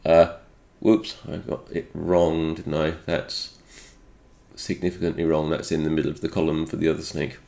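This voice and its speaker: A man's voice: extremely low pitch, monotone, normal speed, vocal fry, a little mellow. A man with a slightly congested voice, recovering from a cold, thinking aloud through an unscripted technical walkthrough. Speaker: host of a retro game programming video.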